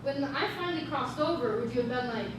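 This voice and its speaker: A woman's voice: high voice, quick pace, close to an everyday voice. A woman playing a sassy, sarcastic talking dog in a staged play reading. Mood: sardonic. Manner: sarcastic, slangy. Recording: echoey, noisy stage recorded from far off.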